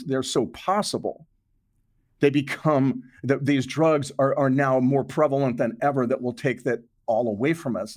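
A man with a mid-pitched voice talks as an interview guest. He explains things off the cuff in a halting way, doubling back on words and restarting phrases as he works through his thought. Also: a little mellow. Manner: halting, repeats and restarts phrases while reasoning aloud. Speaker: interview guest, author and journalist. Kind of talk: unscripted explanatory interview talk.

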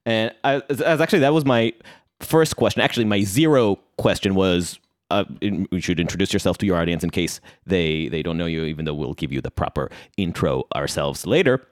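Deep-voiced Israeli man, dry close sound. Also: a little fast, dry recording. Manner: hesitant, restarts sentences, dry humor. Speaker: podcast co-host. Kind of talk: casual podcast chat and promo.

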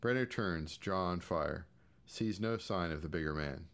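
A man's voice: low voice, a little slow, fairly bright tone. An American man giving a scripted, audiobook-style narration of short fiction. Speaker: short story reader.

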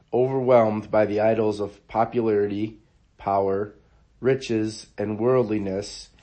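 A hoarse man, under the weather, hosting a devotional podcast and reading quotes in an earnest, measured way. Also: medium pitch, dark tone. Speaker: podcast host.

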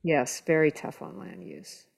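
A woman's voice: deep voice, sing-song, measured pace, gravelly. A woman on a podcast panel, reasoning aloud in casual conversation.

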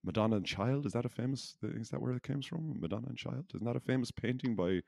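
A man's voice: mid-range voice, quick pace, vocal fry, warm tone, no room sound, studio quality. A man co-hosting a podcast in casual, unscripted chat, rambling along in a jokey, self-deprecating way. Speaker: podcast co-host.

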